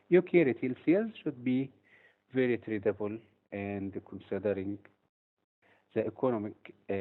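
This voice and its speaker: A man from Egypt, a trading instructor, presents a webinar in a steady, explanatory way with occasional hesitations. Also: mid-range voice. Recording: tinny phone-like audio.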